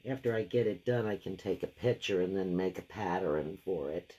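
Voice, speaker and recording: A woman hosting a live craft painting session. She has a deep, gravelly voice left over from a cold, and she chats and thinks aloud while she works. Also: moderate pace, mellow. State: lingering gravelly voice after a cold. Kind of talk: casual live painting demo.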